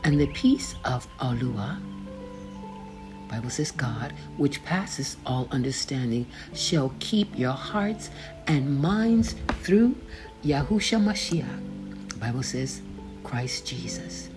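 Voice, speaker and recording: An older woman reading her own faith memoir aloud, slowly and deliberately, pausing to gloss the names in scripture. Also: a bass voice, animated. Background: background music.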